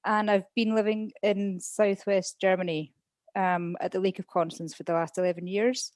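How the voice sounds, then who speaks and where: A woman with a Scottish accent, a podcast guest talking openly about her life and pausing often with "um" as she thinks. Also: mid-range voice, fairly even intonation, a little mellow, dry recording, studio quality. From Scotland. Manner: open, chatty, many "um"s while thinking. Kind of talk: casual interview chat.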